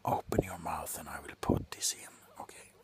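A tipsy man playing a callous, grumpy doctor in a slow, sullen ASMR roleplay, whispering sarcastically up close. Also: rumbling low, fairly even intonation. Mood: grumbling, annoyed. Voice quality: breathy whisper. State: drunk. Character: grumpy, callous, drunken male doctor on a house call. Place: small cluttered room indoors.

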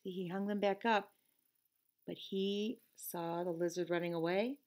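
A woman's voice: lowish voice, fairly even intonation, measured pace, darkish tone. A middle-aged woman teacher reading a picture book aloud to children expressively, with conversational asides. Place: classroom, indoors.

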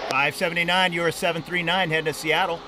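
High-pitched man commentating outdoors, quick. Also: steady tone. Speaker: plane-spotting commentator. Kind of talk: casual live spotting commentary. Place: outdoors near an airport runway. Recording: noisy outdoor camera audio.

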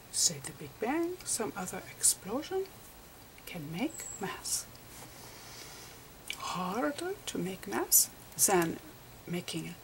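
Female ASMR lecturer: breathy, mostly whispered, halting phrases, lowish voice that swings up and down. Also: low-quality recording. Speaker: ASMR performer playing a physicist. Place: close over a chalkboard on a table indoors.

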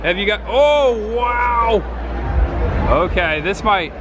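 A man's voice: high voice, slow. Thirties guy vlogging a busy convention on a handheld cam, eager and chatty, music blaring behind. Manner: excited, chatty, exclaiming. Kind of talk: walking convention vlog. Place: large indoor convention hall. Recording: loud crowd and music behind.

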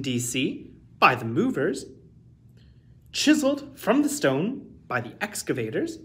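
A man in his thirties reading a children's picture book aloud as a storytime host, with deliberate, emphatic phrasing. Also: high voice.

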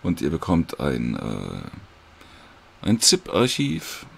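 A man casually and hesitantly talks viewers through a screen recording in an informal, unhurried tutorial. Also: very deep voice, huge pitch swings, creaky, a bit bright, slight background noise. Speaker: audiobook creator giving a download tutorial.

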